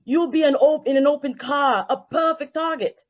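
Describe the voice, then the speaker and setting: A woman's voice: sits high, normal speed, very noisy, lightly acted. Dull-sounding, earnest official played by a woman. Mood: earnest concern. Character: worried official pleading on the telephone. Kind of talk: old-time radio drama acting. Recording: dull, muffled audio.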